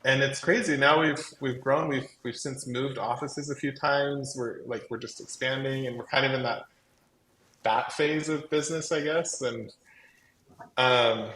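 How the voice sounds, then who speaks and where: A man's voice: medium pitch, fairly even intonation, measured pace, bright, forceful tone. A man with a voice that carries, chatting casually and effusively as a guest on a live stream, telling his own story with lots of "like". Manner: chatty, effusive, peppers talk with "like". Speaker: guest, crafting company co-founder. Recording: roomy echo, faint background noise.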